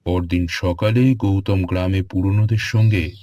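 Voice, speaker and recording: A man narrating a scripted horror story in a measured, dramatic way. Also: sits low, fairly even intonation, noisy background.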